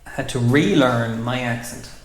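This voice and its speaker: A man's voice: mid-range voice, a little slow. A man with a neutral Irish accent hosting a casual chat, thoughtful and hesitant with many fillers. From Ireland. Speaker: host. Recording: roomy echo.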